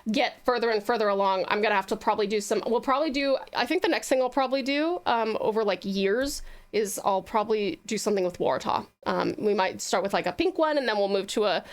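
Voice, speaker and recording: A woman's voice: mid-range voice, lively intonation, fast talker, few pauses. A woman hosting a casual livestream, chatting sweetly and effusively with her viewers and using lots of endearments. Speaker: livestreaming virtual streamer host.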